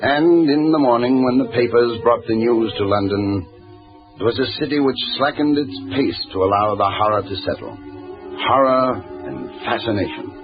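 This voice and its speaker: A man's voice: mid-range voice, lively intonation, normal speed, close to an everyday voice. An actor playing a terse, urgent male police inspector in 1940s radio crime drama, clipped and dramatic. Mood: grim, measured. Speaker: narrator and lead actor. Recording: muffled old radio recording with music.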